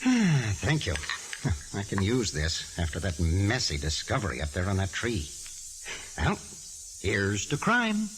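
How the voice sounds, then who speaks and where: An actor playing a suave, pompous, flirtatious film director in a 1940s radio drama, with an effusive, theatrical way of talking. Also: male voice, lowish voice, huge pitch swings, unhurried, fairly bright tone, exaggerated voice. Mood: charming, effusive. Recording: hissy, noisy 1949 radio recording.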